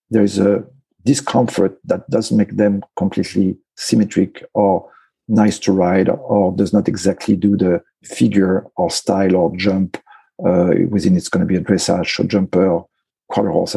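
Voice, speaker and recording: A man's voice: sits low, steady tone, moderate pace, vocal fry, mellow, breathy edge, no echo. A man, a veterinarian, answering interview questions in careful English, thoughtful and full of fillers. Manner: thoughtful, non-native phrasing with frequent fillers. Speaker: equine veterinarian guest.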